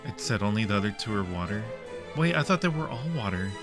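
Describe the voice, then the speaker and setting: A man's voice: on the low side, sing-song, fast talker, music in the background. A man hosting a livestream, chatting casually with viewers while playing a game. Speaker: livestream host. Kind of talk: casual livestream gaming chat.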